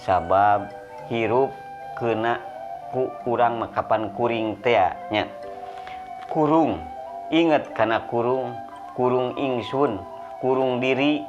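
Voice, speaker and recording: A man's voice: medium pitch, takes it slowly, long pauses, muffled recording, over music, close to an everyday voice. A man playing a humble, poor debtor who pleads patiently and moralizes in a Sundanese folk story, with a Sundanese accent. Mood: earnest.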